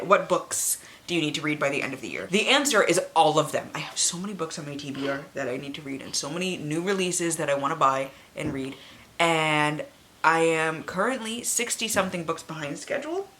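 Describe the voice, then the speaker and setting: A woman's voice: deep voice, animated, fairly quick, fairly bright tone. A woman in her late twenties chatting casually as a book vlogger, candid and self-deprecating, rambling and swearing freely. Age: late 20s.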